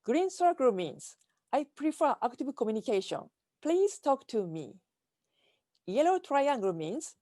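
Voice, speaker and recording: A woman with a Japanese accent giving a prepared keynote talk over a video call, speaking in a careful, measured way from slides. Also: high voice, mellow, no echo, studio quality.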